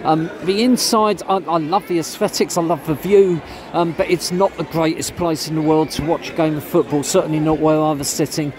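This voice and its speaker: A man's voice: fairly high pitch, steady tone, a little fast, crowd noise. A man giving a casual walking commentary as a vlogger, talking with lots of ums while he films on his phone around a big football stadium. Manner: chatty with many ums. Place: outdoors and inside a large football stadium. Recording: noisy outdoor stadium recording.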